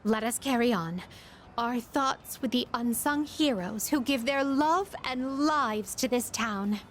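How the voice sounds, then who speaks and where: A woman's voice: on the high side, takes it slowly. A woman playing an elegant, formal and naively curious young lady in a video game, speaking in ornate, precise words. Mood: earnest, solemn.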